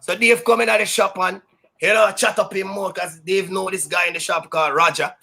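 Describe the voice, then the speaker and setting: A Jamaican man who works as a sound system DJ, telling stories in a loud, high-energy, boastful way that is full of patois. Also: fairly even intonation, fairly quick, vocal fry. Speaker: sound system DJ, interview guest. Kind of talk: casual storytelling interview.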